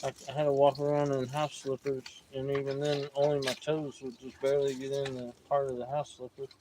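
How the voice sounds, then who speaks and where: A man in his fifties, hosting a prospecting livestream outdoors and chatting in a relaxed, rambling way. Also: medium pitch, brisk, a little hiss or hum, poor audio. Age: 50s. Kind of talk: casual outdoor chat. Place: outdoors by a creek.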